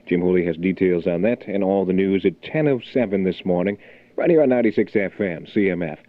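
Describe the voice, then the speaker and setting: A man's voice: sits low, a little fast. A man hosting a morning rock radio show, talking in a laid-back, conversational DJ style through announcements. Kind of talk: album rock radio DJ patter with local announcements. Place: radio studio. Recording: muffled phone-like 1980 radio aircheck, music behind. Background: music.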